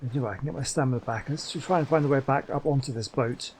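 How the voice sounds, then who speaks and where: A man's voice: mid-range voice, fast talker, darkish tone, a little hiss or hum. A man giving casual, chatty commentary while playing a game, thinking aloud as he goes. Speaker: gaming host.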